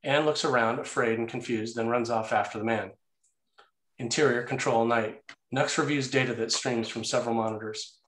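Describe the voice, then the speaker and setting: A man's voice: medium pitch, steady tone, moderate pace, a bit bright. A man narrating action and scene headings from a screenplay in a scripted read. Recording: roomy, echoey sound.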